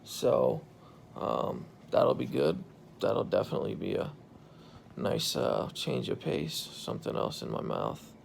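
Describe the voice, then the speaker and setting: A man in his thirties with a hoarse, croaky voice after intubation, speaking tiredly into a phone held at arm's length in a hospital room. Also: wildly swooping pitch, a little slow, slight background noise. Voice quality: gravelly, croaky. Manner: tired, low-key, relieved. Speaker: patient recording a video diary. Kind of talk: casual video journal.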